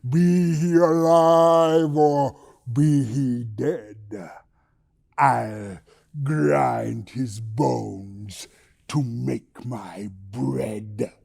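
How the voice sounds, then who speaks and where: A woman voicing a huge, fearsome male giant from a pantomime bedtime story, chanting in a drawn-out, menacing way. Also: extremely low pitch, fairly even intonation, gravelly, warm tone, breathy edge, voice pushed down, heavily acted.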